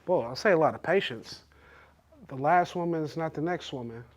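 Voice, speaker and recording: A man's voice: a little high, moderate pace, dull audio. A man, a guest on a casual interview, talking reflectively and easily about patience and love. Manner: reflective, easygoing.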